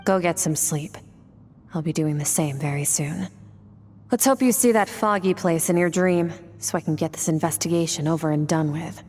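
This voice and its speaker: A woman playing a cool, aloof young swordswoman mentor in an anime game, speaking in a measured, deadpan, instructive way. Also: sits low, close to an everyday voice. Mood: cool approval. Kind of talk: anime video game voice acting. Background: background music.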